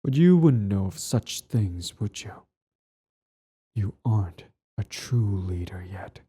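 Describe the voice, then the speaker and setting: A man acting a cold, cruel young prince in an ASMR roleplay, condescending, menacing and deliberate, slipping toward soft whispers. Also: lowish voice, animated, slightly rough, exaggerated voice. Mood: disdainful, wistful. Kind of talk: ASMR roleplay drama, scripted monologue.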